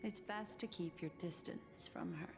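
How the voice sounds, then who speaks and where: An actress playing a composed, enigmatic and shrewd woman advisor in a sci-fi fantasy video game, speaking in a measured, articulate way. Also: lowish voice, in a large hall, over loud music, lightly acted. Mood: grave, analytical. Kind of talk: scripted video game dialogue.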